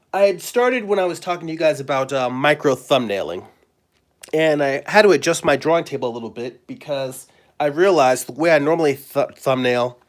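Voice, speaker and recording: Hoarse man with a cold. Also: medium pitch. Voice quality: raspy, with throat clearing. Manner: rambling, friendly, halting with fillers. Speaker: comic artist and live-stream host. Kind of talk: casual live-stream chat. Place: home studio at a drawing table.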